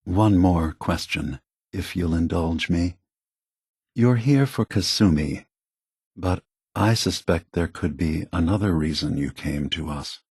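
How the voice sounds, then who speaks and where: A weary android elder statesman from a video game. The voice is low and husky, recorded very dry. He speaks slowly and thoughtfully, with a gentle, deliberate calm. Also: no room sound, exaggerated voice. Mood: probing, reflective. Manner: measured, deliberate, gentle, reflective. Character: calm, weary, philosophical male android leader.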